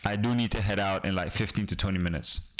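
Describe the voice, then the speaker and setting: A young man from the Caribbean, whose first language is Creole, talks to his live-stream chat while he works on code. His voice sits a little low, and his speech wanders with frequent pauses and restarts. It is loose, easygoing streamer talk. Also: steady tone, background noise. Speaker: streaming developer.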